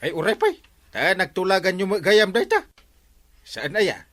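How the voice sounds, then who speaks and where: A bumbling village captain in an Ilocano-accented radio comedy. He stammers evasively in a bright voice that swoops wildly up and down. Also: sits high, huge pitch swings, moderate pace, full character voice. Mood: evasive, irritable. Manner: stammering, full of hesitant interjections.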